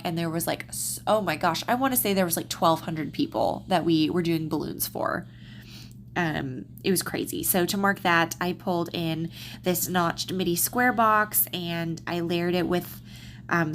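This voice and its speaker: A woman's voice: on the low side, a little hiss or hum. A slightly congested woman with a Southern accent, chatting casually through a planner voiceover with lots of ums and restarts. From Texas, USA. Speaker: planner vlogger.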